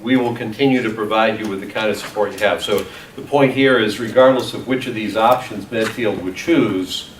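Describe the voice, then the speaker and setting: A man chairing a town committee meeting, speaking in measured phrases with frequent restarts. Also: fairly low pitch, a little hiss or hum. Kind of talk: public meeting moderation. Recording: echoey meeting room from far off.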